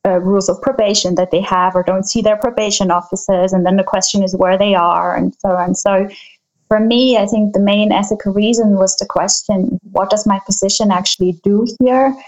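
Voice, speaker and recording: A woman researcher answering a panel question, thoughtful and hesitant, with many pauses and fillers. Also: mid-range voice, fairly even intonation, darkish tone, poor audio. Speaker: panel guest, field researcher.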